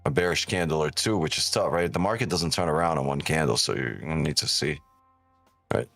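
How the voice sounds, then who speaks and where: Very deep-voiced man talking trading live. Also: brisk, creaky, fairly bright tone, no room sound, faint music behind. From Ukraine. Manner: casual and profane, keeps asking "right?". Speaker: day-trading streamer. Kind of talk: live-stream trading commentary, reading chat aloud.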